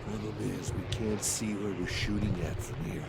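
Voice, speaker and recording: A man's voice: lowish voice, normal speed, heavy background noise, natural voice. A man playing a dazed, earnest army lieutenant in an audio drama. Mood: wary.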